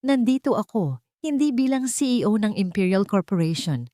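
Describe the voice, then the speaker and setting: A woman's voice: medium pitch, big pitch swings, warm tone, no room sound, clean studio sound, voice pushed up, natural voice. Woman voicing a grave, commanding male CEO. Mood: calm, weighty. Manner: grave, emphatic, deliberate speech-making. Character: commanding, protective male CEO, calm but forceful. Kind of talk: dramatic audio storytelling.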